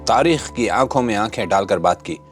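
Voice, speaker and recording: A man with a fairly low voice presents scripted commentary as a channel host. His delivery is rhetorical and dramatic with a sardonic edge. Music plays faintly behind him. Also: lively intonation, brisk. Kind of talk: scripted opinionated political commentary. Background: background music.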